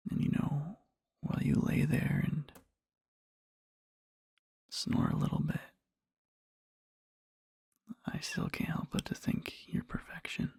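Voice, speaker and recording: Guy doing a loving boyfriend asmr thing, super breathy n whispery, real crackly voice, slow soft murmuring to sleeping partner. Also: on the high side, huge pitch swings, no room sound, studio quality, full character voice. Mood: tender, adoring. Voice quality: breathy, husky, with creaky fry. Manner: slow, halting, tender murmuring. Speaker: ASMR roleplay performer.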